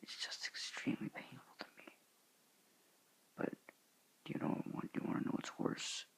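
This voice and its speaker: A teenage boy talking to his viewers in a soft, hushed, halting whisper, earnest and sorrowful in tone. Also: low-quality recording. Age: teens. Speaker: student vlogger. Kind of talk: whispered somber address to viewers.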